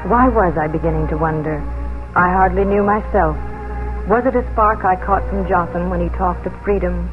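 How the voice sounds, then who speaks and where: An actress playing a proud, earnest colonial mistress with wavering loyalties, in 1950s radio drama style. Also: female voice, on the low side, normal speed, lightly acted. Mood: grave, reflective. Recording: dull vintage 1950s radio broadcast, orchestral music.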